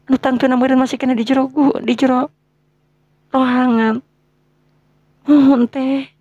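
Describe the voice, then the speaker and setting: A woman playing a gentle, anxious young maiden in a folktale, speaking in a hesitant, polite, plaintive way. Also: high voice, dry recording, muffled recording. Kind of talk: Sundanese folktale character acting.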